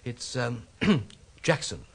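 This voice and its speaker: An actor playing a nervous, apologetic office manager with an English accent in a British radio drama, hesitant, repetitive and eager to placate. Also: male voice, mid-range voice, sing-song, slow pace, gravelly, heavily acted. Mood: awkward, placating. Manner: flustered, hesitant, repeats himself.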